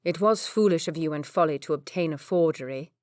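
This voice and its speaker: A woman's voice: low-pitched, brisk, a little mellow, no echo, studio quality, close to an everyday voice. A woman voicing a curt, restrained young nobleman. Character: bruised, angry young nobleman holding back.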